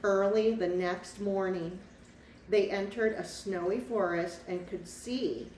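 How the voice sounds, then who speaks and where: A woman reading a picture book aloud to young children, pausing to explain things simply and ask them questions. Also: medium pitch, steady tone, a little mellow. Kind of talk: storybook read-aloud with asides to young children. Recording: echoey room, distant mic, faint noise.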